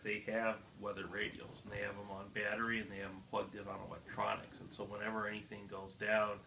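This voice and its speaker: A man's voice: fairly low pitch, creaky, sharp tone. A man chatting casually as a guest, explaining some history in an informal way. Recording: roomy echo with background noise.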